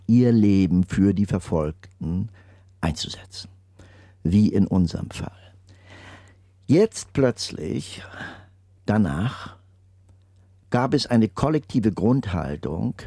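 An older man giving a slow, deliberate testimony in an interview, his phrases stressed and often trailing off into near-whispers. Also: fairly low pitch, dark tone, dry recording, studio quality.

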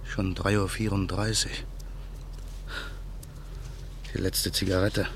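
An actor playing an embittered man who waits through the night for revenge, speaking his inner monologue slowly and in a hushed voice in a radio play. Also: sits low, level tone, slight background noise. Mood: brooding. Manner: slow, brooding, hushed. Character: embittered man awaiting revenge, male adult. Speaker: narrator and main character.

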